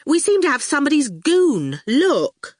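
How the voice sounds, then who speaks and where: Nervous female student for children's audiobook, read by a woman narrator; high-pitched, flustered, lively intonation. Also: measured pace, no echo, studio quality. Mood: anxious relief. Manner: fidgety, flustered. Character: nervous, jittery young female student lodger.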